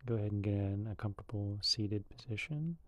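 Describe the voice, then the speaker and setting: A man leading a guided meditation in a calm, unhurried, loosely conversational way. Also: lowish voice, highs cut off.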